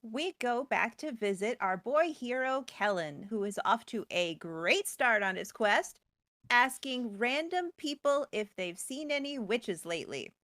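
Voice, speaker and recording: Woman retelling a fantasy story like she's chatting, wry n playful, highish voice, no echo. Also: animated, unhurried, a bit bright, studio quality. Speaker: co-host storyteller. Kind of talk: casual, joking story recap.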